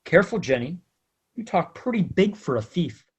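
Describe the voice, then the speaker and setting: An actor playing a smug, menacing small-time blackmailer in an old-time radio crime drama, talking in taunting slang. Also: male voice, high-pitched, very animated, moderate pace, breathy edge, full character voice.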